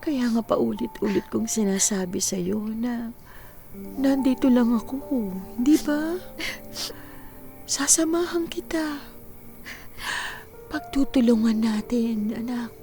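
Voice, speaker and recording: A woman playing a gentle, devoted middle-aged mother in a Filipino radio drama, speaking tenderly and reassuringly. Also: on the high side, takes it slowly, vocal fry, pauses often, faint music behind.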